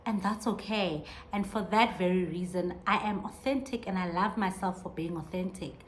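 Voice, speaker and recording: A woman's voice: lowish voice, moderate pace, slight background noise. A woman in her thirties hosting a podcast, talking earnestly and reflectively on her own, with many restarts as she searches for words. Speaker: podcast host. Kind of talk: reflective solo monologue.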